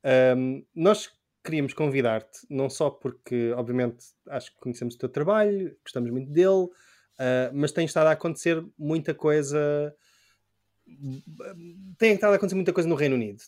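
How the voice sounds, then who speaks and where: A man's voice: fairly high pitch, a little fast, a little mellow. A man from Portugal hosting a casual podcast, asking loose, slangy questions and laughing along. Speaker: podcast host.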